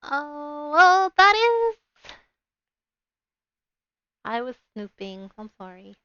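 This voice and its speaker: A woman voicing a flustered, excitable and polite young heroine in a visual novel, gushing breathlessly. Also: high-pitched, very slow, dry recording, full character voice. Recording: dull lo-fi stream audio with game music.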